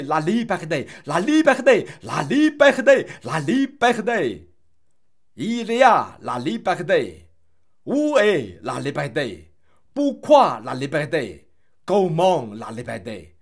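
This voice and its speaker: A man's voice: high-pitched, big pitch swings, slow pace. A man performing a poem in an insistent, repetitive, theatrical way. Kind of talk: performed poetry recitation.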